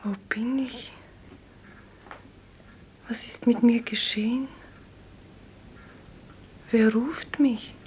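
Dreamy young sweetheart under the sea, breathy, soft and dark, very slow, old radio drama recording. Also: female voice, on the high side, fairly even intonation, slight background noise. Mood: dazed. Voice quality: breathy, half-whispered. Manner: solemn, poetic, slow. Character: drowned beloved young woman, dreamy, tender and humble. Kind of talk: 1950s German radio play acting. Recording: dull 1950s radio recording.

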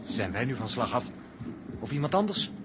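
Man acting sensible analytical astronaut, educated measured talk, mid voice, old radio play with noise. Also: mid-range voice, big pitch swings, close to an everyday voice. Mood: observant. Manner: measured, reasoning, educated wording. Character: level-headed, analytical male astronaut taken prisoner. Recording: noisy old radio recording.

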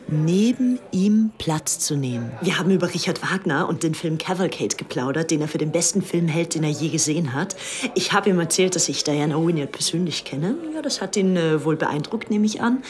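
A woman's voice: fairly low pitch, a little fast, a little mellow, lightly acted. A woman playing a starstruck, devoted young upper-class Englishwoman in a radio drama, with an earnest, gushing manner. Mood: earnest recounting. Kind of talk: radio drama monologue and dialogue.

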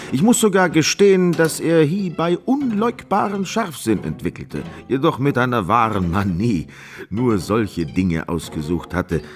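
Radio play casting: self-important maths professor, long-winded and pompous, extremely varied melody, music underneath. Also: male voice, on the low side. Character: pedantic, self-important male mathematics professor.

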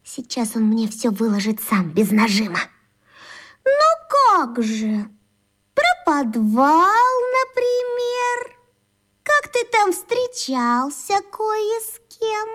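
A woman's voice: high voice, sing-song, takes it slowly, fairly bright tone, heavily acted. A woman playing a smug, pushy schoolgirl in a children's radio play, with girlish tones and a theatrical, taunting delivery. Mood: sly, pressing.

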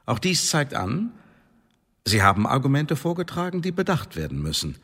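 An actor in a radio drama playing a sceptical, formal Elizabethan secret service interrogator with a dry, probing manner. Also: male voice, mid-range voice, huge pitch swings, normal speed, fairly bright tone, slightly rough, full character voice. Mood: measured, official. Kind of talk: German radio drama acting. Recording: long reverberant hall.

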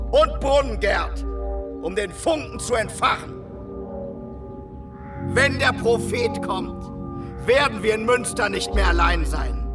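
An actor playing a fiery, fanatical street prophet in a radio drama, declaiming apocalyptic visions with exalted fervour and dramatic pauses. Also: male voice, very high-pitched, full character voice.

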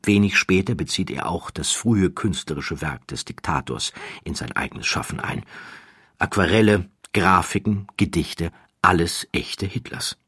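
A man with a low, slightly rough voice reads scripted documentary narration. He is measured and emphatic, with a wry edge. The sound is dry and close to the mic. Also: bright, forceful tone, no echo.

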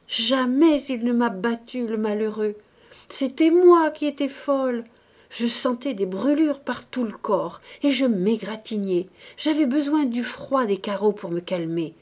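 A woman's voice: a little high, darkish tone, breathy edge. A woman voicing a distraught, guilt-ridden married woman who pleads and confesses feverishly, in dramatic audiobook style. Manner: pleading, exalted, breaking into whispers. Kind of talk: dramatic audiobook character acting.

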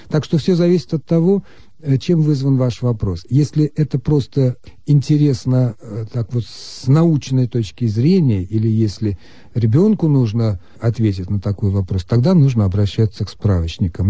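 A man calmly explaining Russian grammar as the expert on a radio call-in show. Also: medium pitch, dry recording. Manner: measured, lecturing. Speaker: language expert answering listeners.

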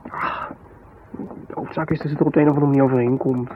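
An actor playing an easygoing, slightly defensive husband in a naturalistic radio drama, talking in a casual, evasive way. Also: male voice, mid-range voice, fairly even intonation, fast talker, close to an everyday voice. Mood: evasive, reflective. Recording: muffled, phone-like 1970s radio recording, noisy.

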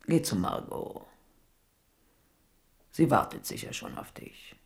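An actor playing a scheming, confiding French royal, deliberate and condescending, in a German radio play. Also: male voice, lowish voice, wildly swooping pitch, gravelly, full character voice.

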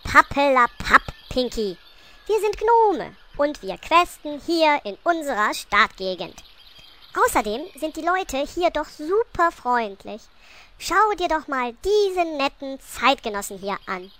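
High, bright, breezy gnome queen. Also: very animated, unhurried, a little hiss or hum, full character voice. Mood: dismissive. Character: naive, imperious pink gnome queen, female. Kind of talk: comedic fantasy radio play acting.

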